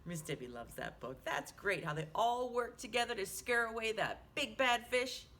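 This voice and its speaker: A woman hosting children's storytime, reading a picture book aloud in an animated, sing-song manner. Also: fairly high pitch, normal speed. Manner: animated, sing-song, with hushed asides to listeners.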